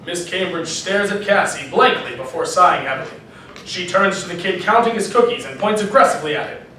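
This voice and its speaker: High-pitched male narrator in an echoey room. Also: expressive, fairly quick, sharp tone. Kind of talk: scripted comedic story narration, read live. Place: panel room at an anime convention. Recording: very echoey room, distant mic, faint noise.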